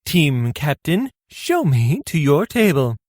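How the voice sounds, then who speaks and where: A woman's voice: low-pitched, sing-song, takes it slowly, darkish tone, no room sound, clean studio sound, full character voice. A woman playing a flamboyant, pompous male food judge in a comic radio play. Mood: jovial, grand. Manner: pompous, exclamatory, savouring.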